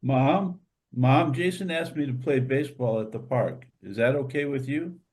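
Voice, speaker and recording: A man's voice: medium pitch, normal speed, a little mellow, close to an everyday voice. Frank, eager schoolboy, played by a man. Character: schoolboy son, frank, eager, fed up with walls. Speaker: actor in a staged reader's theater. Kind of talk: stage play reading.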